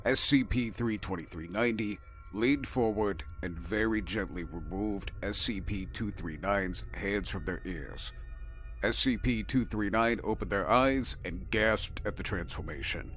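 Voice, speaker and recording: A man's voice: lowish voice, unhurried, a bit bright, soft background music. A man reading a formal, clinical log as scripted narration for an audio drama.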